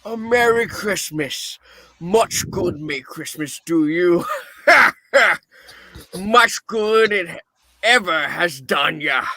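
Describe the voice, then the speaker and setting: Guy doing a crabby old skinflint boss for a radio play, high bright voice, slow delivery, bitter and snappy. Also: lively intonation, full character voice. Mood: scornful. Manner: blustering, snappish, exclaiming. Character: cranky, miserly old male boss, bitter and scornful. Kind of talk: dramatic radio-play reading.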